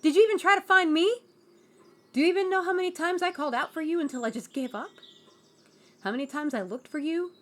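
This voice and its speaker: A fiery young woman in a fantasy audio drama, acted out by a woman, with a high-pitched voice. She is pushy and sarcastic, quick to complain, and full of resentment. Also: a bit bright. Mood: accusing. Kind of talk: fantasy audio roleplay acting.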